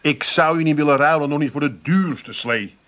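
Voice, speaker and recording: An actor playing a middle-aged, exasperated and pleading Amsterdam husband in a comic radio play, stammering and repeating himself. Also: male voice, fairly high pitch, normal speed, a bit bright, lightly acted. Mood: tender, pleading. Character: exasperated, pleading husband and put-upon son.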